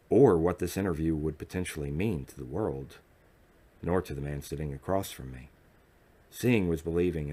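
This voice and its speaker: Male audiobook narrator, deep register, measured dramatic delivery for first-person fiction. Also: a little mellow, breathy edge.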